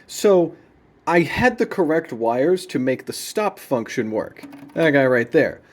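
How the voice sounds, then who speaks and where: A man's voice: fairly high pitch, sing-song, a little slow. A man hosting a casual, unscripted gear demonstration at home, laid-back and playful with dry humour. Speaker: video host demonstrating vintage audio gear. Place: indoors in a room at home.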